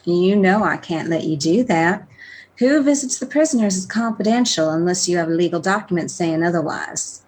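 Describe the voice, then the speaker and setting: A woman's voice: fairly low pitch, expressive, normal speed, a little mellow, poor audio. An actress playing a wry, savvy female prison guard, bantering dryly in a radio play. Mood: wary, bantering. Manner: dry, bantering.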